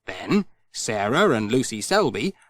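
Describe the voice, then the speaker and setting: A male audiobook narrator reads a children's story expressively. His mid-range voice swings widely and animatedly in pitch, and the recording is close and dry. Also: sing-song, unhurried, a bit bright, dry recording.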